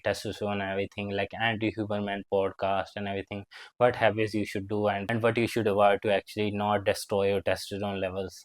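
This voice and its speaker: A man's voice: on the low side, dry recording. A man in his twenties, a fitness vlogger, telling a rambling personal story with lots of fillers and grammar slips. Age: 20s. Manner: rambling, filler-heavy, with frequent "like" and non-native grammar slips. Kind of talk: casual storytelling to camera.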